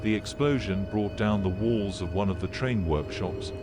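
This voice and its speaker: A man with a fairly low voice narrates like a sober documentary. His delivery is measured, formal and matter-of-fact, with music clearly running underneath. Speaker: narrator of an analog horror video. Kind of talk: serious scripted documentary-style narration. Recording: played video with background music.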